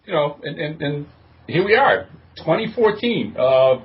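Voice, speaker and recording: A man's voice: a little high, big pitch swings, measured pace. A man co-hosting a podcast in casual group chat, talking in a playful, wry way. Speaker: podcast co-host. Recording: muffled lo-fi, off the mic.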